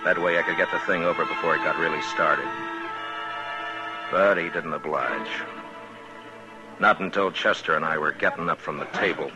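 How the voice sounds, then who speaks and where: Very deep-voiced stern western marshal played by an actor. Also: male voice, steady tone, moderate pace, long pauses, lightly acted, rough recording. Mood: easygoing. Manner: terse, understated, authoritative. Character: calm, weary, stern frontier lawman in western. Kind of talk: 1950s radio western acting and first-person narration. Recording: old radio drama recording with music.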